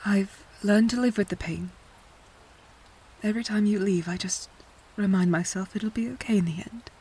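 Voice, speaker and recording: A woman's voice: medium pitch, moderate pace, mellow, slightly rough, rough recording. A woman acting a devoted, worried friend in a fantasy audio drama, speaking earnestly and carefully. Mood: sad, tender. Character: Nadia, devoted, worried magical female friend. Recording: music under the voice.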